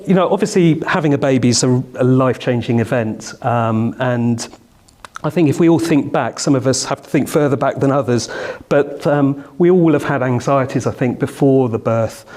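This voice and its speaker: A man's voice: mid-range voice, normal speed, a little mellow. A middle-aged man hosting a casual discussion, speaking in a hesitant, meandering way. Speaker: host of a group discussion with dads. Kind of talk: casual chat.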